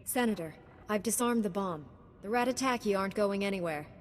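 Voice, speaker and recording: Woman acting a quick, composed heroine. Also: medium pitch, a little hiss or hum, lightly acted. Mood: firm. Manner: direct, self-assured. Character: composed, principled young female Jedi warrior. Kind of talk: video game cutscene acting. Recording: long hall reverb, music behind.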